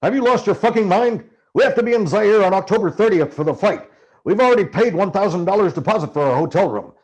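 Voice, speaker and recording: A man acts out a gruff, cynical male journalist from a storybook. His delivery is brusque and haranguing, with very animated intonation and a slightly raised pitch. The recording is somewhat dull and muffled. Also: fast. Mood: exasperated, insistent. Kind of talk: acted audiobook character dialogue.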